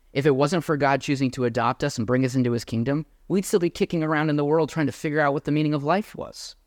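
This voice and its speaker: Male pastor, emphatic sermon delivery, middle pitch, dry close sound. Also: no room sound. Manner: earnest, emphatic, addresses the congregation directly.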